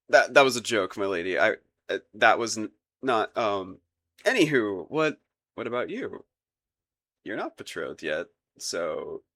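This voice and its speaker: A man's voice: fairly low pitch, sing-song, slow, long pauses, pushed and bright, heavily acted. A man playing a flustered, lovestruck young crown prince in a romantic audio roleplay, stammering and rambling nervously in formal courtly wording. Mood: flustered, bashful.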